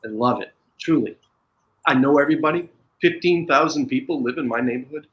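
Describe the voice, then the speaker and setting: Guest, male, American accent, mid pitch, loose rambling delivery with many restarts. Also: fairly bright tone, poor audio. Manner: rambling, halting, with frequent restarts. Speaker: podcast guest. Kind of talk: casual chat.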